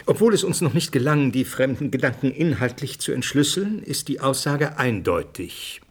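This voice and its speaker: An actor playing a thoughtful scientist who explains things deliberately in a radio drama. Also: male voice, medium pitch, big pitch swings, slightly rough. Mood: pondering, explanatory. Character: thoughtful male scientist musing on alien minds. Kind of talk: German radio drama acting.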